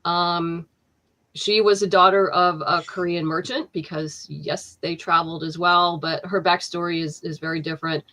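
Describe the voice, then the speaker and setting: A woman's voice: lowish voice, fairly even intonation, moderate pace, sharp tone, rough recording. A woman writer being interviewed, talking casually with lots of fillers and restarts. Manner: chatty, many fillers and restarts, laughs easily. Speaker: comic book writer, guest.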